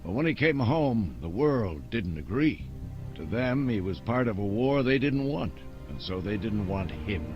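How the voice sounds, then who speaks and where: A grave, world-weary military storyteller in a game cutscene. The voice is deep and somber, with deliberate short phrases, under very loud music. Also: male voice, big pitch swings, highs cut off. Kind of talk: dramatic game narration.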